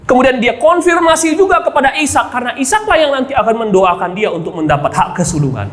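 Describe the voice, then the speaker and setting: A man's voice: very high voice, lively intonation, fast, a bit bright. A male pastor preaching a sermon who keeps addressing the congregation directly and asking rhetorical questions. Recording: echoey hall reverb.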